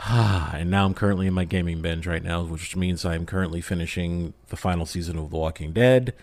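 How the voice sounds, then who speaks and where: A man's voice: deep voice, fairly quick, darkish tone, no room sound. A man chatting casually as a podcast guest and voice actor, playful and joking with theatrical asides. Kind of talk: casual chat.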